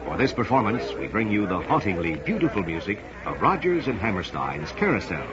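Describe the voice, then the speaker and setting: A man's voice: fairly low pitch, big pitch swings, fairly quick, over a noisy crowd. An American man announcing a scripted mid-century radio theater program in a formal, theatrical manner, like an usher welcoming the audience. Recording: noisy, dull vintage radio broadcast.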